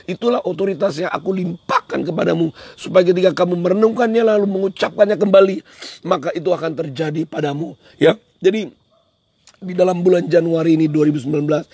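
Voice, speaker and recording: A man with a hoarse voice, preaching a devotional in an emphatic, repetitive way. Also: a little high, a little mellow, no echo. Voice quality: hoarse, husky. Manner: emphatic, repeats phrases for effect. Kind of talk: devotional sermon on a livestream, switching into English at times.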